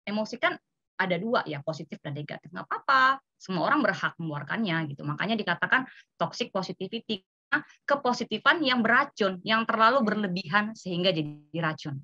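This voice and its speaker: Bright-voiced woman giving a talk. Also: medium pitch, lively intonation, fairly quick, no room sound, muffled recording. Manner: illustrative, acts out example remarks, checks in with "ya". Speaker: seminar speaker. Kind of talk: informal seminar presentation, conversational explaining. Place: online video meeting.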